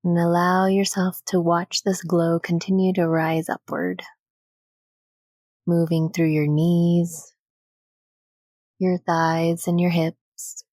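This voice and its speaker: A woman leading a guided meditation in a gentle, soothing, unhurried manner with long pauses between phrases. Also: lowish voice, flat delivery, dry recording, studio quality.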